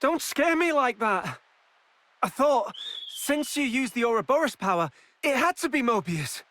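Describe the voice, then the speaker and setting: Game role: caring, easily worried young female, extremely high voice with a bright edge. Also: big pitch swings, unhurried, dry recording, exaggerated voice. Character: anxious, caring young woman, quick to worry. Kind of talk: video game cutscene acting.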